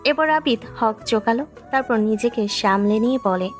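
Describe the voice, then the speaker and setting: Woman narrating romance, slightly high voice. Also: moderate pace. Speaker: story narrator. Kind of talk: scripted narration of a romantic story. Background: background music.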